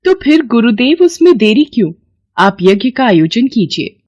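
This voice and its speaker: A woman's voice: a little high, big pitch swings, normal speed, a little mellow, lo-fi sound. A woman voicing an eager, affectionate queen in a devotional cartoon, speaking formally and courteously. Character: eager, affectionate young queen of a mythological king. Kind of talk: Hindi devotional cartoon dub.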